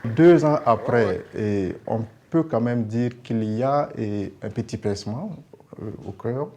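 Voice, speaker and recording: A man with a Burkinabe accent gives a spontaneous interview explanation. His voice is soft-edged and mellow at a middling pitch, and he often pauses to search for words. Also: expressive, creaky. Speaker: project representative being interviewed.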